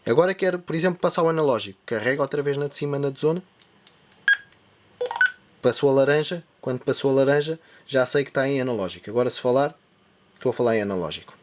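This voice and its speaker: A man's voice: mid-range voice, fast, darkish tone, a little hiss or hum. A man with a European Portuguese accent hosting a tech channel, walking viewers through a device setup step by step as he demonstrates it. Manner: step-by-step explaining while demonstrating. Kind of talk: hands-on device tutorial.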